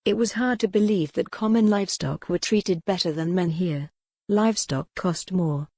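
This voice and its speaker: A woman's voice: on the low side, normal speed, a little mellow, dry recording, studio quality. A woman reading scripted narration as the narrator of a romance audiobook.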